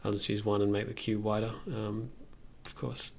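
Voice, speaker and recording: Very creaky-voiced young man thinking aloud. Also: fairly low pitch, level tone, moderate pace. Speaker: music production livestreamer. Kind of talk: casual tutorial chat while working. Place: indoors at a computer desk.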